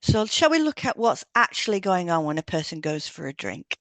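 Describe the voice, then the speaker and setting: A woman's voice: lowish voice, sing-song, fairly quick, fairly bright tone, no echo. A woman co-hosting a podcast, reading scripted educational narration in an explanatory manner. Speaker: podcast co-host, hypnotherapist.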